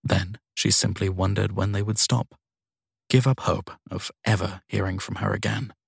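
A man's voice: on the low side, fairly even intonation, normal speed, gravelly, husky, dry recording, studio quality. A man reading crime fiction aloud as scripted audiobook narration.

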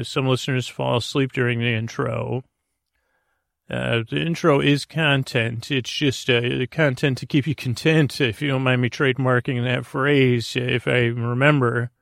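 A man hosting a sleep podcast in a rambling monologue, with a creaky voice and a soothing, meandering, halting delivery that often repeats words. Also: medium pitch, dry recording, clean studio sound. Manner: soothing, lulling. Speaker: podcast host.

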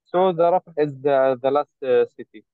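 A man born in Saudi Arabia, speaking haltingly with many hesitations as a guest in an interview. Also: medium pitch, clear, resonant tone, no echo. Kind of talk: interview over a call. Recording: tinny choppy call line.

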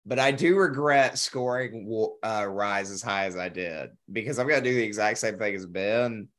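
A man's voice: fairly low pitch, moderate pace, fairly bright tone. A man on a casual film podcast who gives enthusiastic, emphatic opinions. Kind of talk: casual film review chat.

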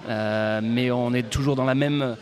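A man, a guest musician, answering interview questions in a hesitant way full of pauses and restarts, at a folk club bar. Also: mid-range voice, fairly even intonation. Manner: hesitant, many fillers and restarts. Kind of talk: casual interview. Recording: live bar recording with crowd chatter. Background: crowd chatter.

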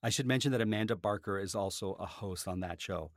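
A man speaking as a podcaster and author, telling things in an animated, conversational way. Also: on the low side, fast, no room sound. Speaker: podcaster and author, interview guest. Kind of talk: casual conversational interview, with a read-aloud book passage.

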